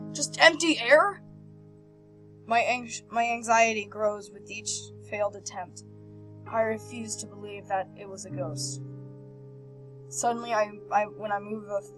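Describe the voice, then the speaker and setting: A woman hosting a game playthrough, reading visual novel text aloud with animated, casual reactions and stumbling over words now and then. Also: medium pitch, low-quality recording. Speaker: host of a video game playthrough. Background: game background music.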